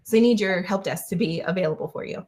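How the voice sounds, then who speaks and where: Woman guest chatting on a podcast, lots of ums, kinda low voice. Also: quick pace, a little mellow. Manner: chatty, many fillers and restarts. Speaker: guest, engineer. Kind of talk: casual interview answers.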